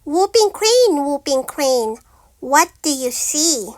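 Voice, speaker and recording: Female teacher for picture-book read-aloud: high voice, very slow delivery, singsong repeated phrasing. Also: sing-song. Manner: singsong, deliberate repetitive phrasing.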